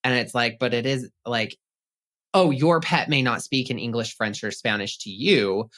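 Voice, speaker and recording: A man's voice: mid-range voice, moderate pace, fairly bright tone. A man being interviewed as a pet psychic on a podcast, chatty and upbeat, with some casual swearing.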